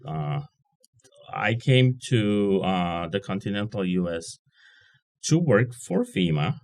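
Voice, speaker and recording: Deep-voiced man. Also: animated, slow pace, a little mellow. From Puerto Rico. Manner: hesitant, many uh, dry self-deprecating. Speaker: guest. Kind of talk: casual interview chat.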